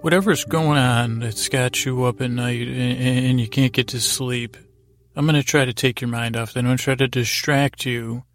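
A man hosting a bedtime podcast in a halting, rambling, easily distracted way, meant to lull listeners to sleep. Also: medium pitch, fairly even intonation, fairly bright tone. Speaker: podcast host. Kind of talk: rambling bedtime monologue to help listeners fall asleep.

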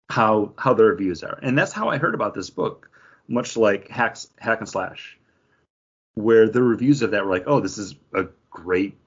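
A man's voice: fairly low pitch, slightly rough. A man chatting casually as a podcast co-host, rambling through his opinions and acting out imagined quotes. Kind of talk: casual chat, book review. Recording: dull, lo-fi podcast audio.

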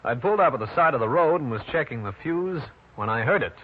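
An actor playing a dry, self-assured private detective in an old-time radio detective drama. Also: male voice, mid-range voice, lively intonation, fast, slightly rough. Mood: wry narrating. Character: wry, hard-boiled male New York private detective. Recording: dull, noisy vintage radio recording.

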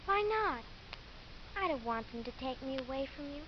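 Wry tough young daughter, high pitch, lively, radio acting, muffled recording. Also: female voice, moderate pace, a little hiss or hum. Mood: pleading. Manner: sassy, colloquial. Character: wry, tough-talking little girl, devoted daughter. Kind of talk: 1950s radio drama acting.

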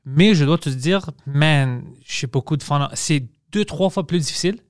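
Podcast host guy, strong accent in french, kinda high voice, dry sound. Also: moderate pace, no room sound. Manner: speaks French as a second language, with a strong accent. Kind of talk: casual podcast conversation.

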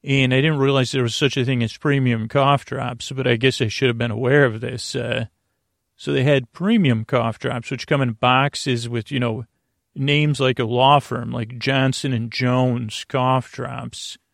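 Male sleep-podcast host: creaky voice, close dry sound, halting and whimsically digressive. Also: medium pitch, steady tone, no echo. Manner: meandering, halting, trailing off into tangents. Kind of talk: rambling bedtime monologue.

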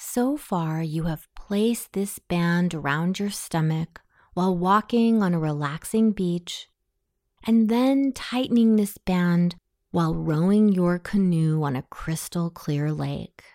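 A woman guiding a hypnosis meditation in a soothing, measured way, with long pauses between phrases. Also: fairly low pitch, warm tone, dry recording, clean studio sound. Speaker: hypnosis session guide. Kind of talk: guided meditation, self-hypnosis narration.

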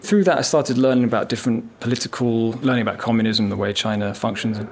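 A man's voice: medium pitch, steady tone, quick pace. Chatty, self-deprecating man in his thirties. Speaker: viola player. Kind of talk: casual interview chat.

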